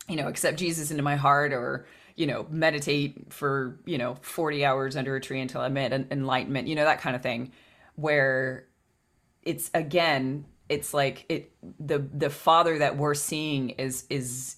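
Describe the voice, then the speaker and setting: Woman w/ really deep voice, fry, thinking out loud about spiritual stuff. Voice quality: low voice with vocal fry. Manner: reflective, talks through ideas with many restarts. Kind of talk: spiritual podcast chat.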